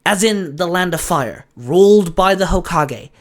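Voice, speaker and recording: A man's voice: high voice, lively intonation, unhurried. A man playing an earnest, proud young ninja in an audio drama, speaking in a formal, declarative way. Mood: proud, puzzled.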